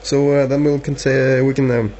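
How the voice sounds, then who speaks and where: A man with a Norwegian accent chatting casually as a radio operator, halting and repeating words. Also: mid-range voice, little pitch movement, mellow. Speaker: amateur radio operator filming the contact. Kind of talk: casual two-way radio chat. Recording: dull lo-fi camera sound, faint hiss.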